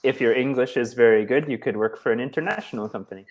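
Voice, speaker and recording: A man's voice: medium pitch, fast. Man, english tutor, easygoing, encouraging, lots of mm-hmms, video call. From United States. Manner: relaxed, encouraging, many short affirmations. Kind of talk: casual tutoring chat.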